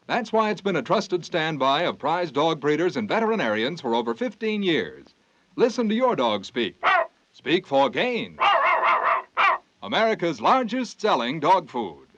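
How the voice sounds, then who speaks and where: A man as a commercial announcer giving an emphatic 1940s radio sales pitch. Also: very animated, unhurried. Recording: muffled 1940s radio broadcast.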